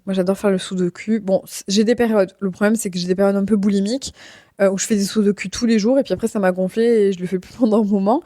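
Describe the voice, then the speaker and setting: Chatty woman with a mellow voice. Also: medium pitch, dry recording, studio quality. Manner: chatty, lots of fillers and self-deprecating asides. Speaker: podcast host. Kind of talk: casual solo podcast chat.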